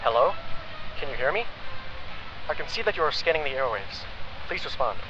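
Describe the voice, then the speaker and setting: A man's voice: mid-range voice, big pitch swings, a little fast, music in the background. A man playing an urgent stranger over the radio. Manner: urgent, insistent calling out. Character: mysterious male radio contact, urgent. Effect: radio broadcast. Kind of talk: audio drama acting. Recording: muffled radio transmission with loud noise.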